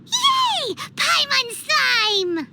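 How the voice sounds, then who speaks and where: Tiny childlike game fairy, extremely high squeaky voice, wildly swooping intonation, cute, bossy, excitable. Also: female voice, extremely slow, heavy background noise, full character voice. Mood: delighted. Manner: exclamatory, refers to self in third person. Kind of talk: video game character acting.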